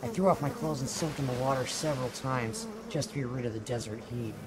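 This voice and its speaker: A performer playing a weary stranded traveler, giving a measured, reflective first-person log in an audio drama. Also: mid-range voice, steady tone, background noise, natural voice. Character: weary stranded traveler recounting a journey in alien desert.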